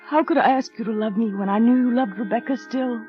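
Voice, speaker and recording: A very high-pitched, timid young wife in an old radio play, acted by a woman. She speaks earnestly and pleads with devotion, over music on a muffled vintage recording. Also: a little fast, close to an everyday voice. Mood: pleading. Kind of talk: 1930s radio drama acting.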